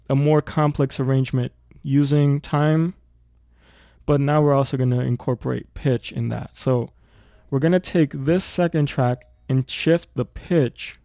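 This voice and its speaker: Male tutorial narrator, mid-pitched, informal and explanatory, recorded close and dry. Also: steady tone, measured pace, dark tone, dry recording. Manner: explanatory, thinking aloud while working. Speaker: audio production tutor.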